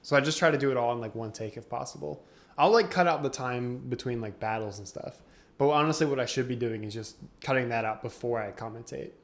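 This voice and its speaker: Laid-back young man, laughing podcast co-host. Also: mid-range voice. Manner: laid-back, laughs often, quick asides. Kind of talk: casual chat.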